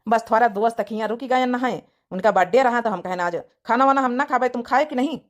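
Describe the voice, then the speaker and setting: A woman's voice: fairly high pitch, fast talker, natural voice. A woman voicing an affectionate, easygoing young husband in an Awadhi-accented comedy cartoon.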